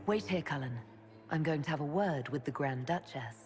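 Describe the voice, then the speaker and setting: A woman's voice: low-pitched, normal speed, a little mellow, natural voice. An actress playing a shrewd, coolly confident female leader in a video game, dry, composed and pointed. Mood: coolly taunting. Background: music.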